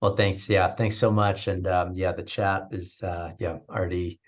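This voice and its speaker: Deep-voiced man hosting a webinar, halting. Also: little pitch movement, lo-fi sound. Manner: hesitant, many fillers and restarts, sincere. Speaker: webinar host. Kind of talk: webinar talk.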